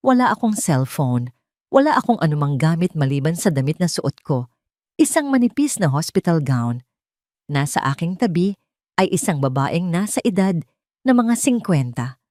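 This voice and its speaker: A woman with a Filipino accent narrating a dramatic first-person story in an expressive, scripted storytelling manner. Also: on the low side, no room sound, clean studio sound.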